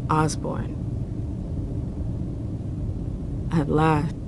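Tough defiant vampire woman, video game drama, lowish voice, slow, rumbly noise behind. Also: monotone, gravelly, heavily acted. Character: steely young woman vampire envoy, bold and defiant.

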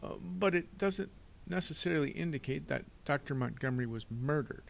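A man voicing a fussy, flustered middle-aged gentleman in a storybook, hesitant and sputtering, with coughs and throat clearing. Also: a little high, lively intonation, a little fast, slight background noise, pitched above the performer's own voice.

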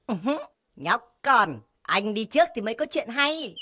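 Cocky cartoon boy mouse, swooping high. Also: big pitch swings, a little slow, background noise. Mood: cocky, bossy. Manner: boastful, teasing. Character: cocky, scheming young male grey mouse, boastful. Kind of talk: cartoon dubbing. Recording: music behind the voice.

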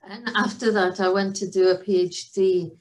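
Welsh lady professor on a video call, pretty monotone, chatty. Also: mid-range voice, normal speed. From Wales. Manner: chatty, even-toned, with fillers. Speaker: professor giving a talk. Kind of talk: presentation over video call.

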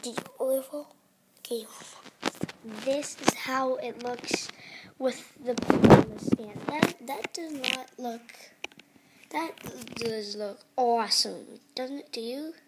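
A woman who is sick and sounds raspy, hosting a casual home review vlog indoors, talking hesitantly with many restarts into a phone held close. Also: high-pitched, slow pace, heavy background noise. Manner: hesitant, restarts often, quiet and mumbly at times. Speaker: channel host. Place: indoor carpeted room at home.

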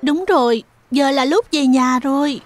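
A woman playing a polite, gentle girl in a cartoon fairy tale dub. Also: high voice, measured pace, faint music behind. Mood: gentle, grateful.

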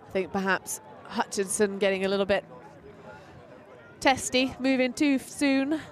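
A woman giving relaxed, playful live play-by-play commentary at an outdoor tournament. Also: mid-range voice, measured pace, some chatter behind.

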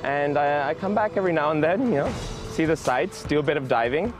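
A man in his thirties answering interview questions on a sunny beach in a relaxed, matter-of-fact way with a few ums. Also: a little high, fairly quick, music in the background. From United States. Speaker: interviewee, scuba diver.

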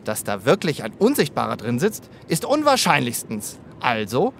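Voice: mid-range voice, wildly swooping pitch, normal speed, gravelly, slight background noise. An actor voicing a playful know-it-all young zebra detective in a children's audio drama, theatrical and fond of mock-precise long words. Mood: clever, excited.

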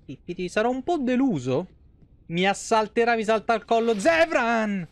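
A man's voice: sits high, animated, moderate pace. A young man from Italy doing casual live gameplay commentary, playful and foul-mouthed. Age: young adult. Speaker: live game streamer.

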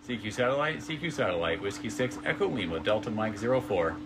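A man's voice: lowish voice, big pitch swings, fast. A man working as an amateur radio operator, making clipped contact calls and spelling out call signs in the phonetic alphabet. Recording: very noisy radio static, clicks. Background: loud radio hiss and clicks.

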